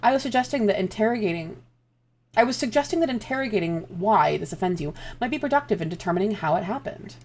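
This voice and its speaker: A woman voicing a polite, analytical non-human companion who speaks in a measured way. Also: medium pitch, lively intonation, darkish tone.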